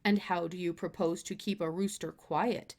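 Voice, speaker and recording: A woman voicing a reasonable, dryly principled father in a children's storybook, talking in a measured way. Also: fairly low pitch, fairly even intonation, a little mellow, voice pushed down. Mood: skeptical.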